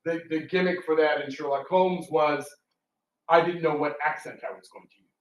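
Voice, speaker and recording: A man's voice: on the high side, fairly even intonation, normal speed. A man talking casually on a live panel as a radio theatre performer, chatty and theatrical in his storytelling. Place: indoor room, public talk at a library. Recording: roomy, distant mic with background noise.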